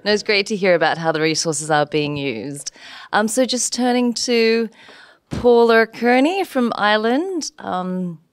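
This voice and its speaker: A woman's voice: lowish voice, clean tone, no room sound, studio quality. A woman moderating a panel, introducing the next speaker briefly and courteously.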